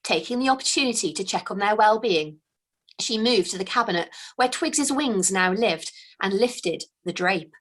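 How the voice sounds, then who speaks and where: A woman author reading her own fantasy storybook aloud, in a slow, expressive, hushed storytelling manner. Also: mid-range voice. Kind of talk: storybook read-aloud narration.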